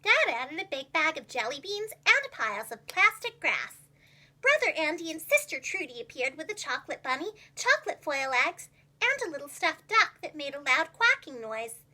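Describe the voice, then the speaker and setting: Animated, high-pitched woman reading a children's story. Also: moderate pace. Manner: animated, carefully enunciated. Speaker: preschool teacher reading a storybook aloud.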